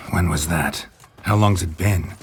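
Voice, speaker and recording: An actor playing a stoic, world-weary monster hunter in a video game, speaking in a terse, deadpan manner. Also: male voice, sits low, a little hiss or hum. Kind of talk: dramatic video game voice acting.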